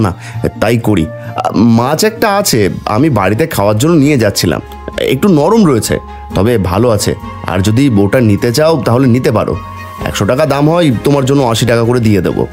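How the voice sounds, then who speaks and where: Greedy cartoon fish seller, male actor: haggling, dismissive, very lively intonation over faint music. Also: mid-range voice, natural voice. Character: greedy, sly village fish seller, adult man. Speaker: fish seller character in a fairy-tale cartoon. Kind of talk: cartoon voice acting.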